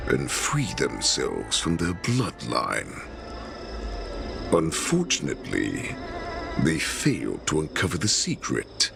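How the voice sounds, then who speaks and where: An actor playing an ancient, sly, captive wolfish warlord in a game, speaking with slow theatrical menace and breathy drops toward a whisper. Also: male voice, extremely low pitch, heavily acted. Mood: bitter, brooding. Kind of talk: dramatic game cutscene monologue.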